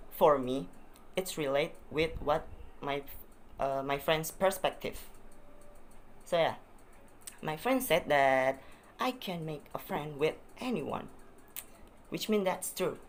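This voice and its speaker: A man's voice: on the high side, a little hiss or hum. Hesitant young Indonesian-accented man. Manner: hesitant, repeats phrases, many uh fillers. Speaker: vlogger. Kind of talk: casual self-introduction to camera.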